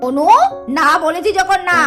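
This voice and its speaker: Casting: strict scolding mum doll, acted by a woman, very high voice, sarcastic, exasperated, cartoon story with music behind. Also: normal speed. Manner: scolding, sarcastic, firm refusals.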